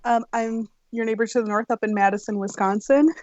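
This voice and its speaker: Soft-spoken woman on a dull line. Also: a little high, fairly even intonation, fairly quick, no room sound. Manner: soft-spoken, hesitant fillers. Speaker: quiz contestant. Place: Madison, Wisconsin.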